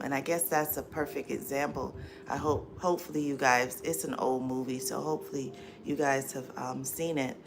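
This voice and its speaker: A woman's voice: very deep voice, gravelly, noisy background. A woman hosting a live stream in casual chat, greeting viewers with many ums, stuffy from allergies and with a dry throat. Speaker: livestream host and coach.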